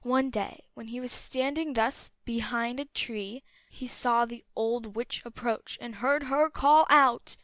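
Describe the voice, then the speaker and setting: A woman narrating a fairy tale as an audiobook, with a clear storytelling delivery. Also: a little high, slow pace, hardly any pauses, a bit bright, dry recording.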